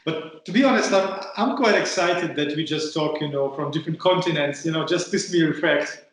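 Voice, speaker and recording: A man's voice: a little high, normal speed, heavy reverb. A man from Russia with a Russian accent, hosting an interview over a call, hesitant and repeating words as he searches for phrasing. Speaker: podcast host.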